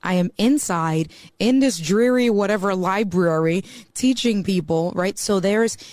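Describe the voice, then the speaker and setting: A woman's voice: medium pitch, measured pace, darkish tone, dry recording. A woman from the East Coast of the US, a mortgage banker talking as a podcast guest in a chatty way, full of "you know.". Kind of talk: casual podcast conversation.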